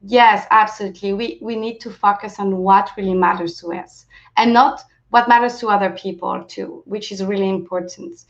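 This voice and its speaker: A woman from France with a French accent, a native French speaker, leading an interactive coaching webinar in a gently encouraging way and thanking participants for sharing. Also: medium pitch, fairly even intonation, normal speed, few pauses. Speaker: self-leadership coach. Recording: lo-fi webinar audio.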